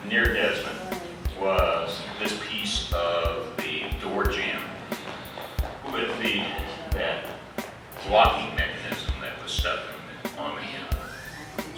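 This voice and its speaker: Low-voiced man, echoey public briefing. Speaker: law enforcement official presenting case facts. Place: community center meeting room, far from camera mic. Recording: echoey hall, distant mic, background noise.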